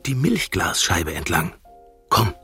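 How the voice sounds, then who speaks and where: An actor playing a stubborn, world-weary man in a radio play, with a gravelly, husky voice and a dry, musing, contrary manner. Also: low voice, very animated, slow pace, sharp tone, soft background music, full character voice. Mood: exploring.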